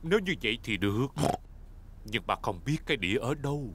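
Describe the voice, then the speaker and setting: A man's voice: medium pitch, sing-song, a little fast, natural voice. A man voicing a mild, good-natured, middle-aged father pig in a dubbed preschool cartoon. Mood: easygoing.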